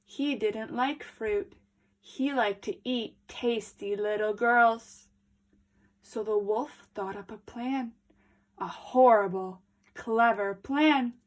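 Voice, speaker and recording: A woman storyteller reading aloud to children, expressive and deliberate, with dramatic emphasis. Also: fairly high pitch.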